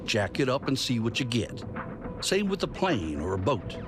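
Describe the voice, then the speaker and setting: An actor playing a wry, folksy mechanic and stunt racer in a game, dry, teasing and plain-spoken. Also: male voice, mid-range voice, sing-song, normal speed, loud music behind the voice, lightly acted. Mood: plain-spoken, confident. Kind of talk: video game cutscene acting.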